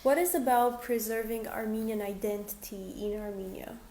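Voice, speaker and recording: Woman host, slow, brief questions, faint background noise, echoey room. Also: fairly high pitch, dark tone. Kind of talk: live webinar Q&A.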